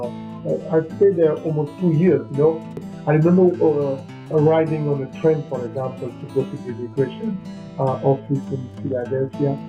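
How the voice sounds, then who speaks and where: Brief: man, interview subject, flat delivery, hesitant and plainspoken; muffled lo-fi recording with faint guitar under it. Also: mid-range voice. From Cameroon. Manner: halting, leans on "you know what I mean". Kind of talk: casual personal storytelling. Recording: dull lo-fi sound with faint music.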